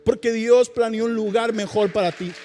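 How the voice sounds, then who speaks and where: Man, pastor, very high voice, fervent emphatic preaching, faint music underneath. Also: fairly even intonation, moderate pace, darkish tone, big-room sound, some chatter behind. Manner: fervent, emphatic preaching with repeated calls of "Señor". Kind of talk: sermon and congregational prayer. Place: church service.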